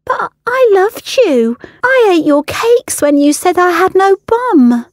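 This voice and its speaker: Reader doing a surly waitress girl from a story, high voice, sharp and wounded. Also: very animated, slow pace, dry recording, studio quality, full character voice. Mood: hurt, accusing. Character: slim, surly young waitress, cutting yet wounded.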